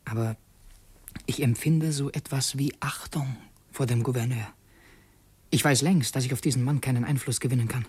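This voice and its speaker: An actor playing a secretive, brooding would-be assassin in a radio drama, intense and introspective, as if confessing to himself. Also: male voice, mid-range voice, darkish tone, husky, no room sound. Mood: conflicted, musing. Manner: intense, introspective, halting self-questioning. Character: brooding young male conspirator and would-be assassin, torn and secretive.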